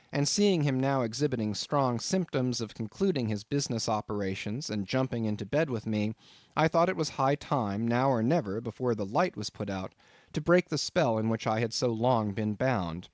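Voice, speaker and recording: A man's voice: medium pitch, a little mellow, no echo. Dry, close-sounding male audiobook narrator. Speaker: audiobook reader. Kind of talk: scripted literary narration.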